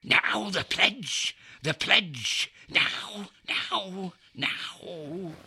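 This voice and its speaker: Actor as scurrilous war-camp cynic for verse drama; forceful, gravelly, swooping, mocking. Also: male voice, high voice, slight background noise, heavily acted. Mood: gleeful mocking. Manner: mocking, drawn-out. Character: scurrilous, sneering male onlooker in ancient war camp. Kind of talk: theatrical Shakespearean acting.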